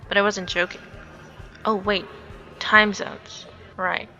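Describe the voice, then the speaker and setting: A woman's voice: medium pitch, little pitch movement, takes it slowly, sharp tone, dull audio, soft background music, exaggerated voice. A woman voicing a naive, earnest young female angel, easily flustered and indignant, in a visual novel. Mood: puzzled.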